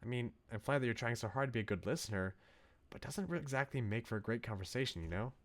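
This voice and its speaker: A man's voice: medium pitch, expressive, quick pace, close to an everyday voice. Man reading as an anxious, frustrated young woman. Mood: weary, earnest. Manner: earnest, ranting, pleading. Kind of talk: dramatic reading of game dialogue. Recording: game music behind the voice.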